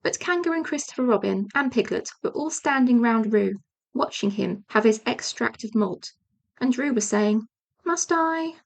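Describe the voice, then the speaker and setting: A woman's voice: on the high side, moderate pace, vocal fry. A woman reading a children's storybook aloud as audiobook narration. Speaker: audiobook reader.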